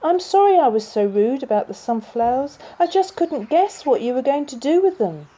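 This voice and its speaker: Woman voicing an impatient young bunny, high and lively. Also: normal speed, highs cut off. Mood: apologetic. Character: impatient, troublesome young male bunny, curious and sulky. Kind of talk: children's storybook character reading.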